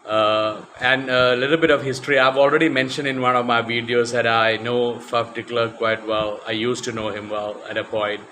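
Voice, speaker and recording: A man's voice: lowish voice, bright, forceful tone, a little hiss or hum, rough recording. A man giving a casual talk to camera as a vlogger, hesitant, with many fillers and restarts. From West Africa.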